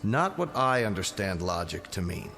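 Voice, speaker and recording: An actor playing a stubborn, rational district attorney in a radio drama, arguing in a measured, lawyerly way. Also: male voice, deep voice, sing-song, fairly bright tone, soft background music, heavily acted. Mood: skeptical, firm.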